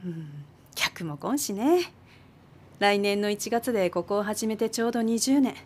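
A woman's voice: medium pitch, expressive, moderate pace, natural voice. An actress playing a caring, fussing middle-aged guesthouse landlady with a Mikawa dialect from Aichi, in a radio drama. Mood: resigned. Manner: solicitous, gently scolding. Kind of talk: modern radio drama acting.

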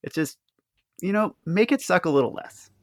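A man's voice: high voice, expressive, moderate pace, dry recording, clean studio sound. A man hosting an interview, asking rambling, enthusiastic questions. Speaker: podcast host. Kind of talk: conversational interview.

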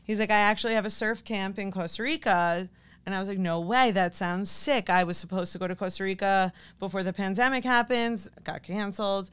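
A woman's voice: medium pitch, fairly quick, fairly bright tone, smooth. A woman who works as a fitness coach, talking informally and conversationally as a guest in a casual interview. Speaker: podcast guest, fitness coach and business owner.

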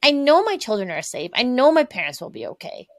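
A middle-aged woman from Taiwan, speaking as a podcast guest in an earnest, candid way with lively emphasis. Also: high-pitched, brisk, no room sound. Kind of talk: conversational interview.

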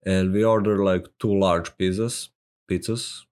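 Slow, low-voiced man with a Serbian accent. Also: steady tone, darkish tone, clean tone. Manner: hesitant, many filler words and restarts. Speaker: solopreneur sharing marketing advice. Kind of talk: casual talk to camera.

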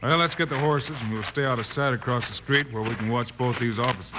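A man playing a steady, commanding Old West marshal in a radio drama, with clipped delivery. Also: medium pitch, fast, lightly acted. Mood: calm, probing. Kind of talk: 1950s western radio acting. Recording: noisy old-time radio recording.

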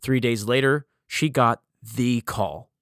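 A man hosting a podcast, reading a scripted introduction in a measured, reassuring way. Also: mid-range voice, steady tone, a bit bright, dry recording, clean studio sound. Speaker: podcast host. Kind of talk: scripted narration. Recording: music bed under voice.

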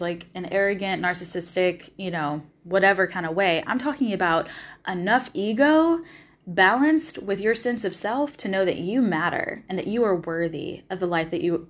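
A woman from the American South with a Southern accent, hosting a podcast in a casual, enthusiastic motivational monologue, swearing freely and using plenty of fillers. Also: medium pitch. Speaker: podcast host.

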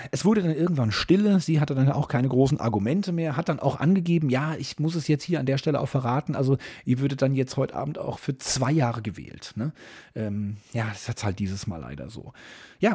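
A man's voice: medium pitch, dark tone, breathy edge. A man, middle-aged, hosting a podcast as a casual, wry monologue full of grumbling asides and tag questions to his listeners. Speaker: podcast host.

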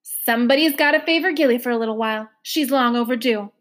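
A woman's voice: on the high side, fast, close to an everyday voice. A woman voicing a stubborn older foster mother who speaks in folksy country dialect, in a storybook reading. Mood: earnest. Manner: bellowing, folksy dialect, emotional.

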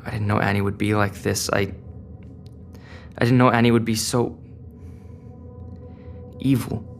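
Anxious teenage boy, audio drama, halting and inward, reverberant sound with music underneath. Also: on the low side, level tone. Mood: troubled, regretful. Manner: hesitant, halting, self-questioning. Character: anxious, conflicted teenage boy stranded from home. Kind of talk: audio drama acting with inner monologue. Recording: long reverb, clear music behind.

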